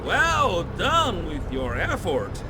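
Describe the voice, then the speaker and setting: A contemptuous crime boss justifies himself in a very high, animated voice. He mocks his opponent arrogantly in a video game scene, with loud music behind him. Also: takes it slowly, full character voice. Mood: mocking, contemptuous. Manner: mocking, contemptuous, indignant. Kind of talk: dramatic video game cutscene acting.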